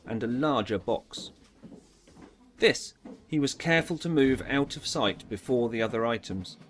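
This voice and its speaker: A man's voice: medium pitch, slight background noise. A man narrating a dramatised audiobook story in a storytelling style.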